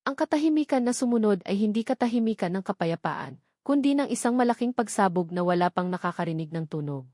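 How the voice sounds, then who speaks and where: A woman's voice: mid-range voice, lively intonation, fast talker, a little mellow, no echo. Lady narrator doing dramatic romance storytelling, really dry recording.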